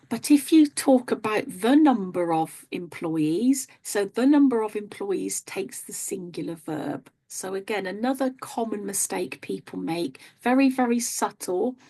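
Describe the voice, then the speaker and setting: A woman's voice: mid-range voice, a little mellow. A British woman teaching an online business English grammar lesson, explaining each point step by step. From Britain. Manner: explains step by step, often starting with "so".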